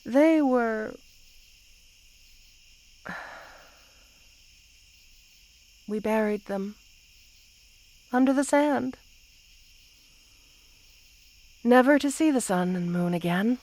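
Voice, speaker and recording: Woman voicing a yandere snake queen, lovesick, possessive, tender, higher-pitched, unhurried and lingering, intimate ASMR roleplay. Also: darkish tone. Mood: confiding, wistful. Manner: slow, tender, sighing, possessive delivery.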